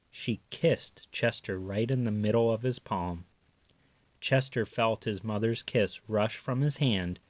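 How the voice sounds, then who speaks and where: A man narrating a children's story aloud with careful, expressive delivery. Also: fairly low pitch, no room sound. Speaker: storybook reader.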